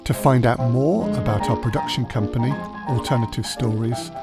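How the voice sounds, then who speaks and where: Audio drama end credits: male announcer, scripted read, medium pitch, music loud underneath. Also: moderate pace, creaky. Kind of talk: scripted narration. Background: prominent music.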